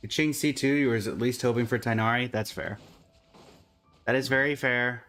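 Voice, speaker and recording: A man's voice: medium pitch, moderate pace, fairly bright tone, soft background music. A man livestreaming a game, chatting casually and in a friendly way with viewers while commenting on his play.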